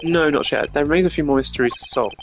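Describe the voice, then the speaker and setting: A man's voice: a little high, fast, fairly bright tone, a little hiss or hum, close to an everyday voice. A man voicing a stern, cerebral investigator in a video game, speaking formally and precisely with dry sarcasm. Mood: pensive. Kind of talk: hobby voice acting of a video game. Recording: music behind the voice.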